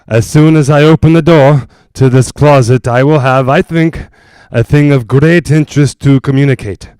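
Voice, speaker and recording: Actor as clear-voiced, formal ghost-hunting doctor. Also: male voice, medium pitch, a little mellow, no room sound, natural voice. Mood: earnest, intent. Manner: formal, measured, deliberate. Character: earnest, formal doctor investigating a haunted house. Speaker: investigator. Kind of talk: 1940s-style radio drama, reporting in.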